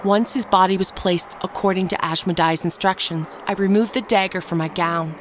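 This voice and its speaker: A woman playing a ruthless, unrepentant ageless murderess, narrating her tale in a measured, dramatic audio drama style. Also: on the low side, fairly even intonation, a little hiss or hum, lightly acted. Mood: cold, gloating. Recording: narration over prominent background music. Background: music.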